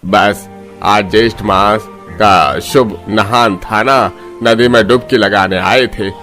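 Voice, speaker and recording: A man voicing village men in an animated story. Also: fairly low pitch, slow pace, soft background music. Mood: friendly. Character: village potter and sly priest, loud accusing man. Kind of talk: Hindi animated story dialogue.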